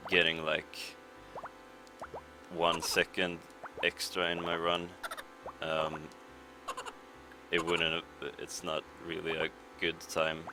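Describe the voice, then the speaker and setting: A young Swedish man with a Swedish accent commentating his speedrun in a relaxed, rambling way, with many pauses and fillers. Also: sits low. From Sweden. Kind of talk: casual live commentary over gameplay. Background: game music.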